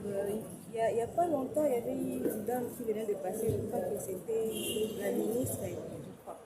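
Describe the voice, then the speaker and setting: A woman's voice: a little high, normal speed. A young woman from Congo, a fashion brand founder, answering interview questions hesitantly, with fillers and restarts. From Congo (DRC). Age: young adult. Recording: loud background noise.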